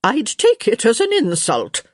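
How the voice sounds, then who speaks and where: A woman voicing an elderly countess, sharp, theatrical and blunt, in a story reading. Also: a little high, huge pitch swings, unhurried, no echo, clean studio sound, voice pushed up, heavily acted. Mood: gruffly fond.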